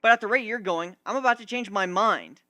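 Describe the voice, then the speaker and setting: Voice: mid-range voice, a little fast, pushed and bright, no room sound, clean studio sound, voice pushed up, lightly acted. A narrator voicing a wounded, sarcastic young male griffin who rants emphatically in a storybook reading. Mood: indignant. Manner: ranting, emphatic, aggrieved.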